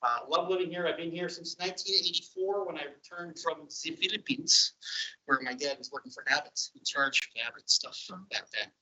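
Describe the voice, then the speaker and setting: A middle-aged man speaks informally to a group in a very bright, forceful voice pitched a bit high. He is chatty and rambling, with folksy turns. The recording sounds dull and muffled. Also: brisk. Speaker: meetup co-host and presenter.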